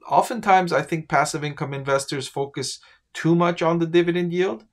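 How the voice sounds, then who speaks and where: Man explaining stocks conversationally to camera. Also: mid-range voice. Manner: conversational, asks "right?" to the viewer. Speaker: finance commentator. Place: home office with bookshelves.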